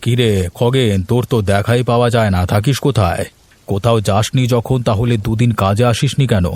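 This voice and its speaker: A man voicing a gruff, suspicious village elder in a cartoon, scolding and grumbling. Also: mid-range voice, fairly even intonation, brisk, a little mellow, no room sound, close to an everyday voice. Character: gruff, suspicious village headman and put-upon old fisherman. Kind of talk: cartoon story dialogue.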